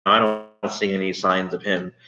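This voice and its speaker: A man's voice: deep voice, flat delivery, normal speed, pushed and bright, faint music behind. A man teaching third graders online, giving informal, conversational instructions to his pupils. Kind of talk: informal online read-aloud and assignment talk to pupils. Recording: dull lo-fi sound.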